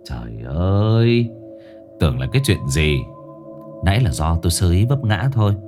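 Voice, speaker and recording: A man's voice: low-pitched, sing-song, moderate pace, over music. A man playing an exasperated young man in an audio story, flustered and protesting, trying to placate someone. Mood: placating. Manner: flustered protesting, stammering, soothing. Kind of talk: audio ghost story character voice.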